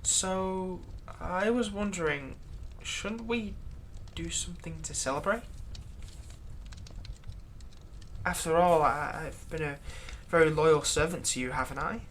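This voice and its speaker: A man's voice: a little high, measured pace, few pauses, a bit bright, slight background noise. A man playing a servile, nervous vampire's thrall in a roleplay audio drama, stammering and deferential. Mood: nervous, hopeful. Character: servile, nervous male vampire's thrall, bitterly eager to please.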